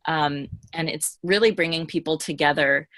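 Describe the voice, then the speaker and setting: A woman's voice: low-pitched, normal speed, fairly bright tone, dry recording. A woman in casual podcast conversation, speaking earnestly and effusively as a co-host.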